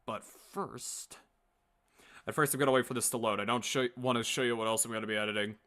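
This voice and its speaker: A man's voice: mid-range voice, fairly even intonation, a little fast, a bit bright. A man chatting casually to his viewers as a livestream host, joking around and swearing freely. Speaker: livestream host and comic dub creator. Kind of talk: casual rambling chat to viewers.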